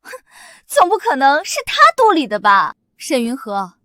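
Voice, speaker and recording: Arrogant noblewoman villain; high, lively, scornful delivery over drama score. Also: moderate pace, no echo, clean studio sound, exaggerated voice. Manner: sneering, haughty, scornful. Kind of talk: costume drama acting.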